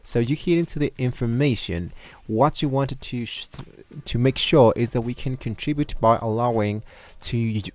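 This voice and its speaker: A man walking viewers through software on his screen, talking loosely with many fillers and restarts. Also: lowish voice. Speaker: tutorial host. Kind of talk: screen-recorded software tutorial. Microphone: desktop microphone at a computer.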